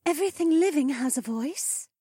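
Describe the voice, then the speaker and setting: A man voicing a gentle, sage-like oryx woman in a fantasy audiobook, breathy and unassuming. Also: very high voice, expressive, moderate pace, dry recording, studio quality, pitched above the performer's own voice. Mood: serene. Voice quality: breathy, whispery.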